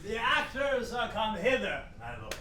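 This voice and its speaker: An actor playing a fussy, pompous, long-winded old royal counsellor in a theatrical Shakespearean radio play. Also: male voice, high voice, big pitch swings, measured pace, pushed and bright, full character voice. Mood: pompous, proclaiming.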